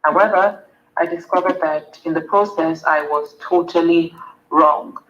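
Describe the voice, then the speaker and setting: A woman's voice: on the low side, measured pace, fairly bright tone, lo-fi sound, a little hiss or hum. A Nigerian woman, a wellness coach, earnestly answering summit interview questions and repeating words for emphasis.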